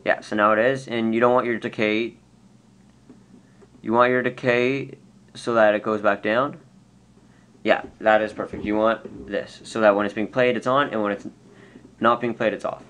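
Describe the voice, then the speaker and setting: Man, fairly low voice, casual tutorial talk, step-by-step, self-correcting, some background noise. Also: flat delivery, normal speed, long pauses, a bit bright. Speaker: tutorial presenter. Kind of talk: unscripted screen-recorded software tutorial.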